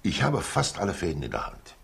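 Radio-play state councillor: jovial, sly older man, very deep and husky, theatrical swoops. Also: wildly swooping pitch, brisk, a bit bright, exaggerated voice. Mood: jovial, confiding. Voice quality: gravelly, husky. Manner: avuncular, theatrical, repeats himself. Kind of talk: 1960s German-language radio crime drama.

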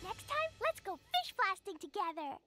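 A woman's voice: extremely high pitch, sing-song, normal speed. A woman voicing a cute, mischievous little girl in an anime game, bubbly and childlike. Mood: gleeful. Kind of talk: anime game character trailer. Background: bright music.

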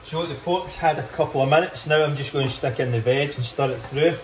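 A man's voice: a little high, brisk, a little hiss or hum. Chatty young man in an echoey kitchen. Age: young adult. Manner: chatty, upbeat, loose with swearing. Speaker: fitness vlogger. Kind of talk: casual cooking walkthrough. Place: home kitchen indoors. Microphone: phone held at arm's length. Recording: echoey kitchen, faint clicks.

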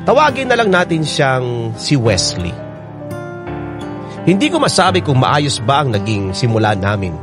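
A performer with a Filipino accent voicing a confessional letter-writer telling a love story in a radio drama. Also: male voice, medium pitch, very animated, unhurried, pauses often. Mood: confiding. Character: confessional single mother narrating her love story. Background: guitar music.

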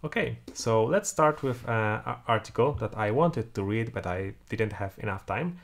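Low, gravelly Polish-accented man. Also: expressive, normal speed. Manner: thinks aloud while typing, many "uh" fillers. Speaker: programming tutor. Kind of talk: screen-recorded coding tutorial. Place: home room, indoors.

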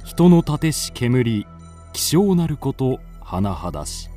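A man tells an old folk tale in solemn, classical narration. His voice is warm and mellow, and he speaks at an unhurried pace. Soft music plays beneath him. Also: medium pitch, sing-song. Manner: slow, solemn storytelling.

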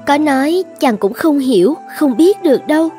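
A mysterious young cartoon maiden, acted by a woman, coy and coaxing. Her voice is high yet soft-toned and mellow, with faint music behind. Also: lively intonation, moderate pace. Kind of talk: cartoon fairy-tale dub.

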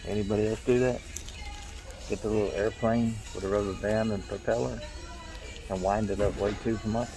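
A man's voice: fairly low pitch, fairly even intonation, normal speed, long pauses, poor audio. A man chatting and joking in a souvenir shop, caught on a handheld camera. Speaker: vlogger's co-host. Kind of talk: casual chat. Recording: music playing over the voice.

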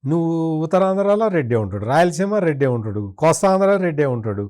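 A man's voice: on the high side, sing-song, fast, darkish tone. Opinionated man giving political commentary. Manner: opinionated, critical, emphatic repetition. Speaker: political commentator being interviewed.

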